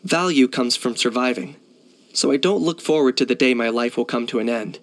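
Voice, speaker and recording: A man's voice: medium pitch, a bit bright, natural voice. Composed, dry young hunter played by a man. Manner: composed, matter-of-fact, dry. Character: cool, pragmatic young male hunter and mercenary. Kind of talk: video game character acting.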